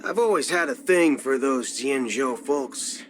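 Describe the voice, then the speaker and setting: An actor playing a cocky, folksy cyborg cowboy outlaw in a video game, with a Southern American drawl. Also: male voice, mid-range voice, lively intonation, measured pace, a little hiss or hum, natural voice. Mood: easygoing. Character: swaggering cyborg cowboy gunslinger outlaw, adult male. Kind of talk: video game character voice lines. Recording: music under the voice. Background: background music.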